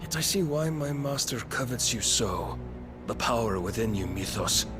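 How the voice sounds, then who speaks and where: A man's voice: mid-range voice, lively intonation, moderate pace, music in the background, lightly acted. A man playing a haughty, coldly reverent fantasy warlord king in a video game, speaking imperiously in grand archaic phrases. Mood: cold reverence.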